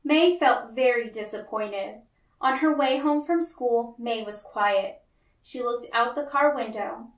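A woman reading a picture book aloud to children, with deliberate, expressive storytelling narration. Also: a little high. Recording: roomy, echoey, distant mic.

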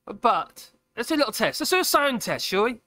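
A man, chatting casually on a live stream from his workshop, a bit husky today and prone to rambling and correcting himself. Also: big pitch swings, fairly quick, sharp tone. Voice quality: husky at the moment, fairly high voice. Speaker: woodworking channel host. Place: cluttered home workshop, indoors. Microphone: cheap USB desk microphone. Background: air compressor running at times.

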